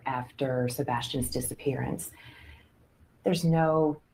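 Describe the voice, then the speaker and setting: Female interviewer for news, very low, soft-toned voice, faint ambient noise. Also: fairly even intonation, takes it slowly, creaky, low-quality recording. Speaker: news reporter.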